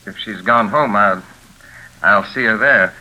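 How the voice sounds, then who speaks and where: An actor playing a determined young fiancé in a 1940s radio thriller, courteous, earnest and firm. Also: male voice, fairly low pitch, unhurried, very noisy, close to an everyday voice. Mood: polite, probing. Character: determined, polite young fiancé come to the rescue. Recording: sizzling old radio broadcast.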